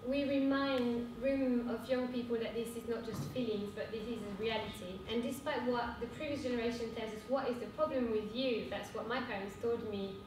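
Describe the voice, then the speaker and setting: A young woman with a French accent giving an earnest conference talk, pausing often with fillers. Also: steady tone. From France. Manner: earnest, hesitant with frequent fillers. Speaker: cooperative speaker. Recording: echoey hall from far off with rumble.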